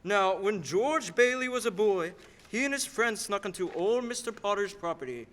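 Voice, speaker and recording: A man acts out a heavenly superintendent of angels for a radio drama. The voice is high and forceful, with patient authority and the measured manner of someone briefing a junior. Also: lively intonation. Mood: patient, instructing. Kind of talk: 1940s radio play acting. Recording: stage radio-play performance.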